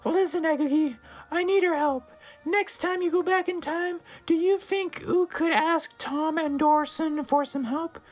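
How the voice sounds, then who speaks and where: Female professor played by narrator: very high, tearful, pleading, melodramatic comic storybook figure. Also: unhurried, soft background music.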